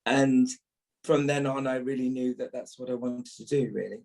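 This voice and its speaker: A man's voice: medium pitch, fairly even intonation, moderate pace, gravelly, darkish tone. A middle-aged man from the English Midlands, an actor reminiscing as an interview guest. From Birmingham, England.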